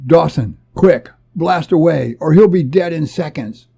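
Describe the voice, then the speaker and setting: Man acting an urgent, husky space captain. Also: a little high, unhurried, warm tone, heavily acted. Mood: urgent, alarmed. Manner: barking urgent orders and warnings. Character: commanding male space captain, urgent and wary.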